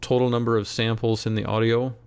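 A man narrating a software tutorial as an instructor, in a measured, step-by-step way. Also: mid-range voice, level tone, clean tone, dry recording. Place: close, dry voice-over for screen recording.

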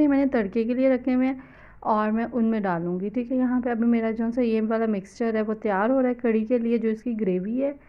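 A woman's voice: on the high side, lo-fi sound. Chatty Pakistani woman, kitchen voice-over. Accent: Pakistani Urdu. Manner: chatty, loose and explanatory, mixing in English words. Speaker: cooking vlogger. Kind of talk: casual cooking voice-over. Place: home kitchen.

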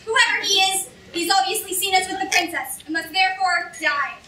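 A man's voice: extremely high pitch, brisk, pushed and bright, exaggerated voice. A young man acting an arrogant, impatient criminal mastermind in a theatrical staged reading. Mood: commanding. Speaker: student actor in a staged reading. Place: lecture room stage before an audience. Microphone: far camera in the audience. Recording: echoey hall, distant camera, noisy.

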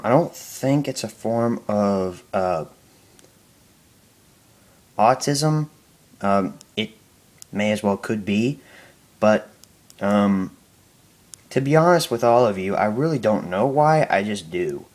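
Low-voiced young man who stutters. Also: lively intonation, a little mellow. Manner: stutters, halting with many fillers and restarts. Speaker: YouTuber answering viewer questions. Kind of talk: casual Q&A talk to camera.

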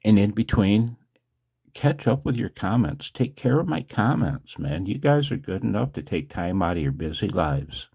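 A man's voice: lowish voice, big pitch swings, moderate pace, gravelly, dark tone, slightly rough. A man talking casually and self-deprecatingly to his viewers in a rambling monologue, as host of a video channel. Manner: self-deprecating, chuckles often.

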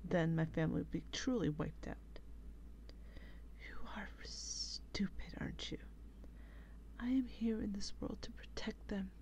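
Female vampire played by a woman for ASMR role-play: exasperated and protective, whispery, creaky, unhurried. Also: on the low side, darkish tone. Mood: scornful, protective. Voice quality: whispery, breathy. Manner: slow, dramatic, sighing reproach.

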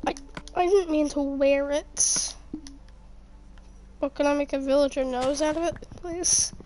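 Croaky high-voiced woman narrating her gameplay. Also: fairly even intonation, a little slow, warm tone, soft background music. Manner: chatty, muttering to herself, mock-frustrated. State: croaky from being sick. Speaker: gaming youtuber. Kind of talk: casual gameplay commentary.

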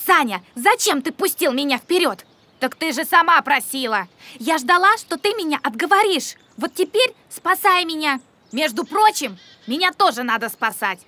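High-pitched, mischievous young raccoon. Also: female voice, lively intonation, moderate pace, bright, forceful tone. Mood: grumbling. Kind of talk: children's cartoon acting.

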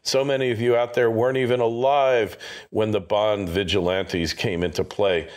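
Male podcast host, lowish voice, punchy delivery, rhetorical questions. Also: level tone, moderate pace, darkish tone. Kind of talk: scripted show intro and interview questions.

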